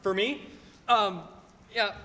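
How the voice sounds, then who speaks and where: A man's voice: sits high, fairly even intonation, slow pace, pushed and bright, heavily acted. A young man acting a flustered, earnest small-town building and loan man in a stage radio play, stammering and pleading emphatically. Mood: alarmed, wary. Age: teens or young adult. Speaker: high school student actor. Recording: reverberant hall, noisy stage recording.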